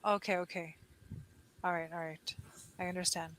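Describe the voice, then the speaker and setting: A woman's voice: lowish voice, fairly even intonation, normal speed, a bit bright, a little hiss or hum. A woman with a Californian accent, chatting casually on a video call as an online English tutor and explaining patiently. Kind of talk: casual conversation lesson. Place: lives in Costa Rica.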